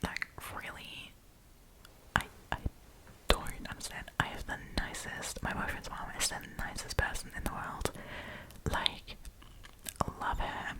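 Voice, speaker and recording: A woman's voice: a little slow, rough recording. Whispering woman, chatty ASMR storyteller. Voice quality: breathy unvoiced whisper. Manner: chatty, opinionated, informal with swearing. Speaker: host reading Reddit stories.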